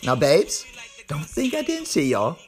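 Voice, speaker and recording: Hyped southern guy doing a sports podcast, kinda hoarse, repeats himself, bit high voice, music under it. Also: wildly swooping pitch. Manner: hyped, brash showman with drawn-out words; repeats phrases for emphasis. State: slightly hoarse. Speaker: podcast host. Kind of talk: informal sports podcast monologue.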